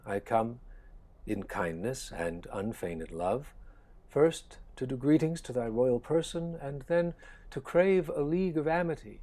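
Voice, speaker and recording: An actor playing a proud, blunt earl and envoy in a Shakespearean drama, declaiming formal verse. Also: male voice, mid-range voice, dark tone. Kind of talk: Shakespearean stage-style audio drama acting.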